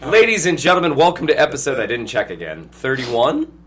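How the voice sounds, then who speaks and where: A hoarse young man from Ohio hosting a casual podcast, rambling and joking with lots of fillers. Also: mid-range voice, huge pitch swings, fairly quick, a crowd in the background. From Ohio, USA. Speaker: podcast host.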